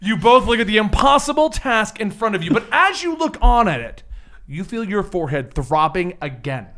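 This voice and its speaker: A man's voice: high voice, very animated, normal speed, pushed and bright. A man narrating an improvised tabletop game as the game master.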